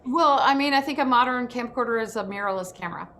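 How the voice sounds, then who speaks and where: A woman's voice: on the high side, quick pace, pushed and bright. A woman chiming in casually during a panel discussion. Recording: clearly noisy call audio.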